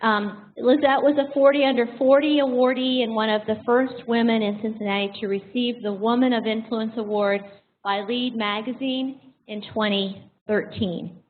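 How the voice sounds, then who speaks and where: A woman's voice: a little high, fairly even intonation, normal speed, few pauses. An American woman acting as host, giving an informal introduction of a guest speaker to a live audience. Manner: jokey, self-deprecating. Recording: thin phone-like sound with faint noise.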